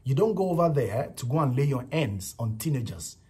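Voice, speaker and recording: A man's voice: medium pitch, animated, fairly quick, a little mellow, husky. A man with a Nigerian accent giving casual spoken commentary on a viral clip, emphatic and repetitive, often adding "you know" and "right". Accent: Nigerian English.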